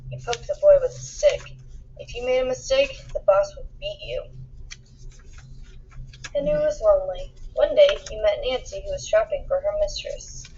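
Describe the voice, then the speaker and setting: A woman reading a children's picture book aloud in an expressive storytelling style, acting out the dialogue with emphasis. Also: medium pitch, normal speed, breathy edge, muffled recording. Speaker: storybook reader.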